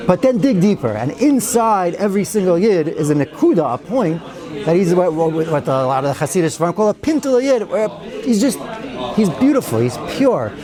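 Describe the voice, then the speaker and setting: A man's voice: high voice, sing-song, normal speed, a crowd in the background. A man teaching a weekly Torah class in a synagogue study hall, chatty and digressive, with wry asides and many Hebrew and Yiddish terms. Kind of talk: informal Torah lecture.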